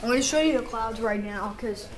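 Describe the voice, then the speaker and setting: High-pitched, eager amateur weather watcher, female. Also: brisk, rough recording. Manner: eager, informal weather-fan talk. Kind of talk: casual vlog commentary. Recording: home recording with background radio chatter. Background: weather radio and voices.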